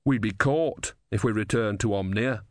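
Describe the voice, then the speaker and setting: A man voicing a slow-thinking, meek and earnest monk in an audiobook. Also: medium pitch, very animated, a bit bright, no echo. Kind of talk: audiobook character voice.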